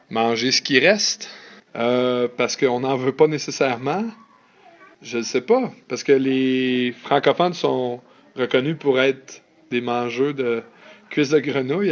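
Pondering man on a dull line. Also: mid-range voice. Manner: pondering, hesitant. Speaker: listener guessing an expression.

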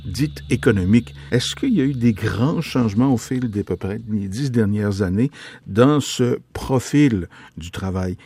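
A man hosting a radio interview, measured and smooth in his questions. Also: lowish voice, lively intonation, dark tone, slight background noise. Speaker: radio host.

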